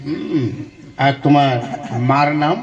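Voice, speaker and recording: A man's voice: fairly high pitch, fairly even intonation, takes it slowly. A man playing an exasperated village schoolmaster in a comic puppet show, questioning and exclaiming in bafflement. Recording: dull lo-fi sound.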